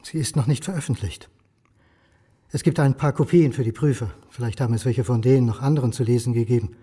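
Man acting uneasy, wry historian, mellow husky voice. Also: mid-range voice, quick pace, lightly acted. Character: uneasy, wry male historian, targeted academic. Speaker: radio drama lead and first-person narrator. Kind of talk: radio crime drama acting.

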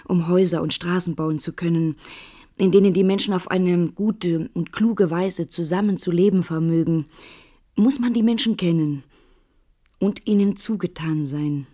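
Female reader, measured literary delivery, lowish pitch, soft dark timbre.

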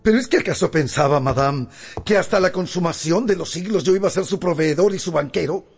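Gravelly, indignant moneylender. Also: male voice, sits high, fast talker, exaggerated voice. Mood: scornful. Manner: scornful, pompous. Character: stern, indignant male merchant and moneylender. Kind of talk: radio drama acting.

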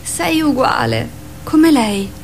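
A woman playing a tender, wistful mother in a radio drama, speaking in a gentle, reflective way. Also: high voice, expressive, takes it slowly, slight background noise, heavily acted. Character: wistful, tender adult mother of a young boy. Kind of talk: theatrical radio drama acting.

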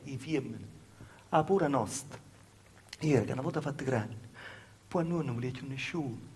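A man's voice: a little high, a little hiss or hum, close to an everyday voice. A male actor with a Calabrian accent playing a humble peasant woman, telling her village past in a plaintive, folksy, repetitive stage monologue. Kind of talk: theatrical dialect monologue over live music. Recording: loud live music behind the voice. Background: live music.

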